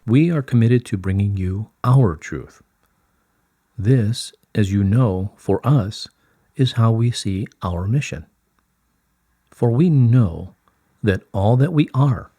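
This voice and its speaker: A man reading as a serene collective of higher light beings, in a stately, formal, measured manner. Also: dark tone, breathy edge, no room sound, exaggerated voice. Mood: solemn, benevolent. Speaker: reader of channelled messages. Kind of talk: formal, scripted channelled-message reading.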